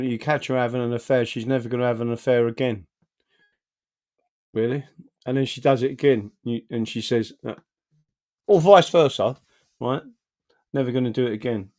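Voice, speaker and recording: A man's voice: mid-range voice, steady tone, brisk, pauses often, darkish tone. A man co-hosting political commentary, explaining carefully with hesitations. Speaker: co-host.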